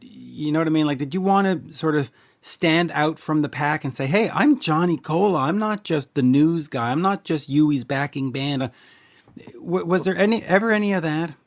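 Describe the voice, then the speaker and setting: A man's voice: on the high side, normal speed. A male host asking long, rambling interview questions with many restarts.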